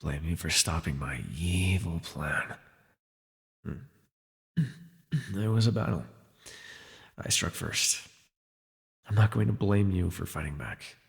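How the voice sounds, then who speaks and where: A jaded, imprisoned telepath villain , acted by a man, speaks in a low, gravelly voice that rings with long reverb. He is wry and sardonic, sighing wearily through an ASMR superhero audio drama. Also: lively intonation, slow pace, long pauses, exaggerated voice. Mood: weary, wry, then dry, resigned. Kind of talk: ASMR audio roleplay acting.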